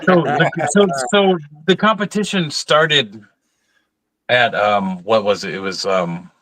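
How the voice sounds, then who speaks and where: A man's voice: mid-range voice, slow pace, a bit bright, low-quality recording. A drunk man giving opinions in casual chat, stammering and repeating words as he starts his sentences.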